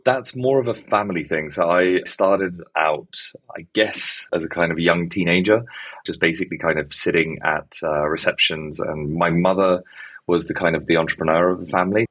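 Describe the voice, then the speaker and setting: A man from the Czech Republic who sounds English talks through a founder interview. His voice sits very low. He rambles, padding his thoughts with "kind of" and "basically". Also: moderate pace, fairly bright tone. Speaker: guest, startup founder. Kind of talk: conversational interview.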